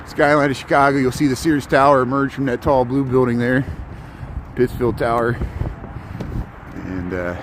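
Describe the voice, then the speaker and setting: A man from southern Indiana, mid-pitched, talks his way through a walking livestream in a loose, rambling manner, picking up viewer comments as he goes. He sounds like he is on a phone held while walking, with faint city traffic murmuring outdoors. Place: outdoors on cold city streets. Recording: faint street noise outdoors. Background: city traffic and street ambience.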